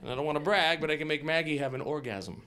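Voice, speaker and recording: A man in his forties doing animated, incredulous stand-up comedy, often interrupting himself. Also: on the high side, fast talker, crowd noise. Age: 40s. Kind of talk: stand-up comedy before a live audience. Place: small TV studio stage with audience. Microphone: handheld microphone, later on a stand. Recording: live studio audience laughing.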